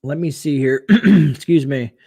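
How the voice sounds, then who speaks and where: A man hosting a casual late-night livestream, chatting and reading viewer comments aloud, his voice getting hoarse. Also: fairly high pitch, unhurried, mellow. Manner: chatty, reads viewer comments aloud, frequent fillers and laughs. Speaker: livestream host.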